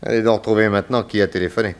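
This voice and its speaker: An actor playing a shrewd, brisk private detective who questions with pressing authority, in a 1960s French radio serial. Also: male voice, lowish voice, steady tone, lightly acted. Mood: businesslike.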